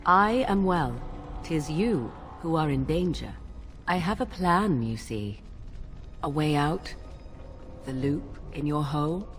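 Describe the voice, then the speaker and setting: An actress playing an aloof, secretive young witch in a fantasy game, speaking in formal, archaic, deliberate phrases. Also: deep voice, a little hiss or hum. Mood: calm, persuasive. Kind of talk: dramatic fantasy game dialogue.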